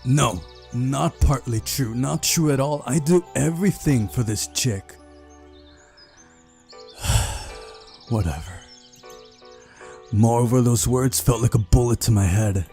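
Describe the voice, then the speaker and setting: A man hosting a game playthrough, reading visual novel narration aloud in a mellow voice. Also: mid-range voice, slow, long pauses, soft background music. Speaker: gaming video host.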